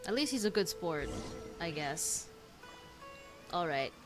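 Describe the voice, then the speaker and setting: A woman's voice: on the low side, sing-song, takes it slowly. A woman streamer giving casual, informal reaction commentary while playing a game. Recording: game music behind the voice.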